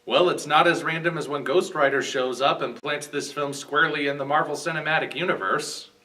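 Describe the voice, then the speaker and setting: A man's voice: a little high, brisk, bright, forceful tone, far from the mic. A man giving scripted commentary as a guest film reviewer.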